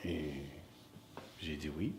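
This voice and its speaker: A middle-aged man with a very low voice. He is an acting teacher answering interview questions in a reflective way. He pauses often and repeats words as he hunts for the right phrase. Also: a little mellow, slight background noise. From Northern Ontario. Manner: hesitant, many fillers and repeated words.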